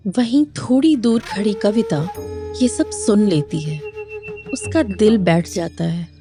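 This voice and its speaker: A woman's voice: mid-range voice, lively intonation, takes it slowly, music in the background. A woman narrator reading a scripted moral story for a cartoon.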